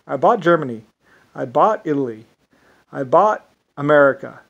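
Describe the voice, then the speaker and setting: Irish guy rambling on and on, lots of um and restarts, kinda high voice. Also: expressive, vocal fry, fairly bright tone, slightly rough, lo-fi sound. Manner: rambling, halting, many restarts and fillers. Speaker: self-described inventor. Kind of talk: rambling monologue.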